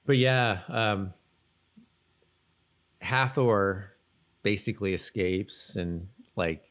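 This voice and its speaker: Casual podcast co-host, male, middle-aged, lower register, hesitant thinking-aloud delivery. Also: very slow, a bit bright. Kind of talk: casual TV episode recap chat.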